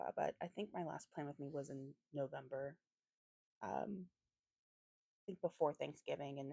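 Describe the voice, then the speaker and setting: A woman with a slightly raspy voice giving a casual craft voiceover, rambling hesitantly and often correcting herself as she goes. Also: low voice, mellow, dry recording. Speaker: planner vlogger and host.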